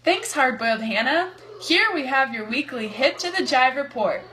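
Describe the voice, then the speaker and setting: A woman's voice: sits high, lively intonation, moderate pace, pushed and bright. A woman playing an earnest news anchor in a scripted amateur 1920s radio broadcast. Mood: upbeat.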